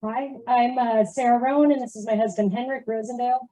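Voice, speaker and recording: A woman's voice: a little high, fairly even intonation, quick pace, dark tone, no room sound, poor audio. A middle-aged woman giving a casual, conversational introduction of herself to a group. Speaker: new cabin owner introducing herself.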